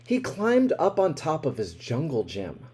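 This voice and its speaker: A man reading a children's picture book aloud in an expressive way, with dramatic emphasis. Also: fairly high pitch, unhurried, husky. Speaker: storybook reader.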